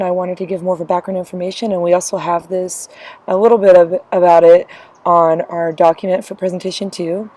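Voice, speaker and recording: A woman's voice: lowish voice, warm tone. A young woman who is losing her voice, giving a class presentation with frequent ums and a loose, rambling delivery. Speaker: student presenter.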